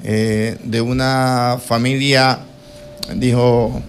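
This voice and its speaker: A man with a coastal Ecuadorian accent answering interview questions about himself in a formal, deliberate, hesitant way. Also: medium pitch, flat delivery, smooth. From Quevedo, Ecuador. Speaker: interview guest, accountant.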